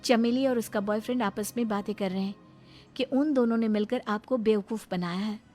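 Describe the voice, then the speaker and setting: A wronged young wife a woman acts her out speaks in a high, emphatic voice over music. She is sincere, firm and dignified, in the manner of a cartoon melodrama. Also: brisk, a little mellow, lightly acted. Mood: earnest, revealing. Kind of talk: melodramatic Hindi cartoon voice acting.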